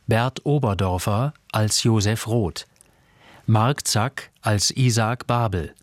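A man makes a formal, scripted radio announcement in a low voice. He reads slowly through a list of credits, close to the microphone in a dry studio. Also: no room sound.

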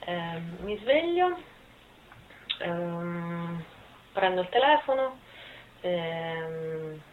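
A woman's voice: lowish voice, animated, drawn-out words, a little hiss or hum. A woman in her twenties answering interview questions informally, hesitant and thinking aloud. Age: 20s. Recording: thin phone-like sound.

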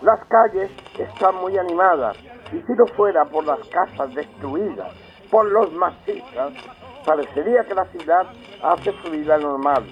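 High-voiced gloating fascist general. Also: male voice, lively intonation, a little slow. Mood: smug. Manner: boastful, contemptuous. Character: gloating, scornful fascist general broadcasting propaganda. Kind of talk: 1930s radio propaganda address. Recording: dull old radio sound with music.

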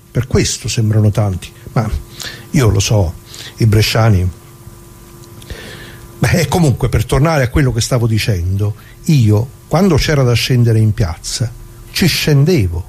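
An actor playing an elderly working-class Italian former bricklayer in a radio drama monologue, plain-spoken, halting and folksy as he reminisces. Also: mid-range voice, a little mellow, slightly rough. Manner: folksy, halting, with rhetorical tags.

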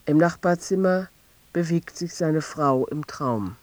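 A male narrator played by an actor tells a radio-drama story with detached, measured delivery. His voice sits a little high and is recorded close, with no room sound. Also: darkish tone, dry recording, lightly acted. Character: detached male narrator telling of a troubled teacher.

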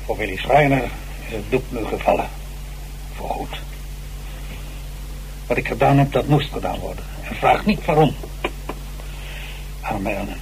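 An actor playing an ageing, self-dramatising stage actor jailed for murder, halting and theatrical, in a radio drama. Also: male voice, medium pitch, vocal fry, low-quality recording. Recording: hissy old radio recording.

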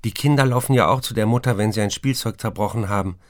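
A man playing a tormented, intense writer who confesses and narrates in measured, intimate speech in a radio play. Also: lowish voice, no echo, clean studio sound, close to an everyday voice. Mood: pleading, tender.

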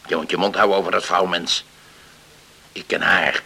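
Stern, gravelly-voiced stubborn father. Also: sits low, little pitch movement, sharp tone, full character voice. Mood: stern, unyielding. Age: middle-aged. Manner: curt, deliberate, unyielding. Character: stern, stubborn island father guarding his daughter. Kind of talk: radio play acting.

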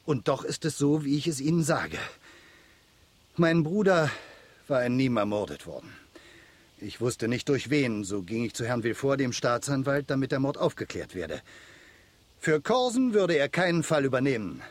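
A guilt-ridden manservant in a radio drama. His husky voice moves widely in pitch as he confesses with haunted agitation. The sound is dry and intimate. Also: very animated, moderate pace, no echo. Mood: bitter confessing. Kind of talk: German radio drama acting.

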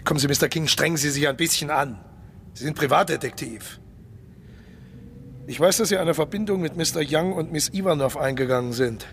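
An actor playing a suave, cynical mercenary colonel and old-hand spy in a radio play, polite but insistent, condescending and menacing. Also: male voice, on the high side, a little fast, creaky, fairly bright tone, slight background noise. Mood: suave menace. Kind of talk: German radio play acting.

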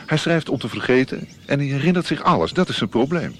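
An actor playing a wry, worldly court musician in a radio drama, speaking in a laconic, knowing manner. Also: male voice, mid-range voice, fast talker, a little hiss or hum, lightly acted. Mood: dryly knowing. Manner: laconic, dry, expressive.

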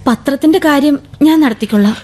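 A woman's voice: sits high, fast talker, warm tone, close to an everyday voice. A woman playing a tearful, defiant young daughter. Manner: trembling, pleading, sobbing at times. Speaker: actor in radio drama. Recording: old AM radio broadcast.

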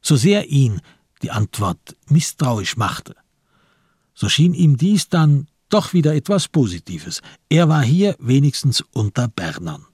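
A man narrating a literary radio drama in a measured, grave and deliberate manner. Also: mid-range voice, big pitch swings, darkish tone, husky, no echo, studio quality.

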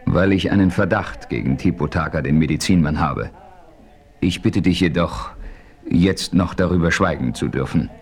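A deep-voiced, thoughtful hero of the old West tells his adventure. His delivery is measured and formal, with deliberate diction, in the manner of a seventies radio play. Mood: earnest, probing. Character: calm, thoughtful frontier hero narrating his western adventure. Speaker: narrator and lead character. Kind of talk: 1970s western radio play narration.

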